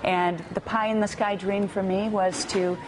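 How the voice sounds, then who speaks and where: A woman's voice: mid-range voice, little pitch movement, a little slow, background noise. A middle-aged woman from the United States answering questions in a TV news interview, earnest and a bit hesitant, with fillers. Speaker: guest, activist. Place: TV news studio.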